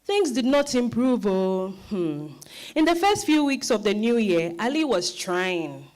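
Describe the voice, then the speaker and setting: A woman narrating a dramatized story as an expressive storyteller. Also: on the high side, measured pace.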